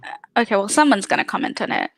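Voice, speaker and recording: A woman's voice: medium pitch, fast, fairly bright tone, a little hiss or hum. A woman hosting a casual livestream interview, chatty, with frequent fillers. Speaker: podcast host.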